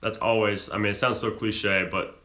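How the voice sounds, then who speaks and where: A man's voice: fairly low pitch, little pitch movement, moderate pace, sharp tone, echoey room. A young man from the American South, hosting a live stream and reading viewer questions aloud before answering them offhand. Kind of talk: casual live Q&A chat.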